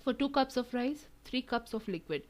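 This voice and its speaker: A woman's voice: a little high, normal speed. Chatty woman explaining a recipe. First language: Tamil. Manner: relaxed, chatty, many fillers. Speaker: cooking channel host. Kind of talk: home cooking tutorial voice-over. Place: home kitchen.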